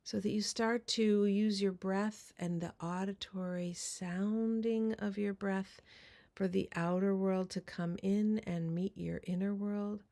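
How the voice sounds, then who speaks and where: Female meditation guide, very slow and gentle, mellow tone with a touch of fry, soft near-whispered phrasing. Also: medium pitch, fairly even intonation.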